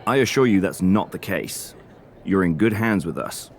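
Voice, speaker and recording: A man playing a wrestling show manager in a game cutscene, reassuring and dismissive in measured corporate talk. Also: sits low, expressive, slight background noise. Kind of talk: video game cutscene acting.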